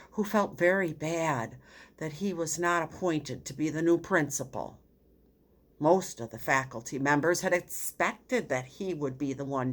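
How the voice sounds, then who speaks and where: A woman narrating a self-help book as a scripted reading, with measured, deliberate phrasing. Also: on the low side. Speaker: audiobook reader.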